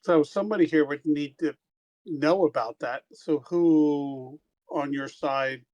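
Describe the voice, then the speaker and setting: A man playing a tabletop game, speaking in a slow, hesitant way. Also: a little high, a little mellow, muffled recording. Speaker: player.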